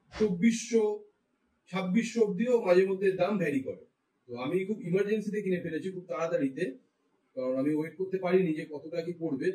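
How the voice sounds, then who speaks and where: High-pitched, fast-talking Bengali man, echoey room. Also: darkish tone. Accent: Indian Bengali. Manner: explanatory, mixes English phrases into speech. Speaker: tech reviewer, vlogger. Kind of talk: casual product review, explaining to viewers. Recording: roomy echo, distant mic.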